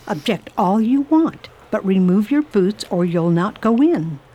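A woman playing a brisk, determined lady traveler in a radio drama, speaking firmly and commandingly. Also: medium pitch, expressive, warm tone. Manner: firm, commanding.

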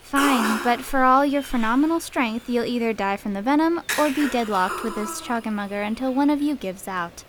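Woman playing a bold, high-voiced young warrior woman. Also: background noise, natural voice. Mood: commanding. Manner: confident, brisk, bargaining. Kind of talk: theatrical radio drama acting.

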